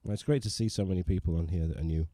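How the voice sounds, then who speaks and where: Mellow-voiced man chatting at a piano. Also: deep voice, rapid-fire, no room sound. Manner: rambling, self-deprecating, many restarts. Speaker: livestream host and pianist. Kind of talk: casual livestream chat. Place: living room, digital piano.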